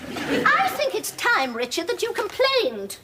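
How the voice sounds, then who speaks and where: A snobbish English housewife. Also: female voice, extremely high pitch, huge pitch swings, measured pace, heavy background noise, exaggerated voice. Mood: bossy. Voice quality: affected, plummy, domineering. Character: snobbish, pretentious middle-aged suburban housewife. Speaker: sitcom character.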